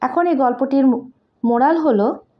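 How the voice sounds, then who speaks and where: A woman with a Bengali accent reading a moral story aloud to children in simple, deliberate phrases, like a teacher. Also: sits high. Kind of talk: slow read-aloud moral story with translation. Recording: dull lo-fi home recording.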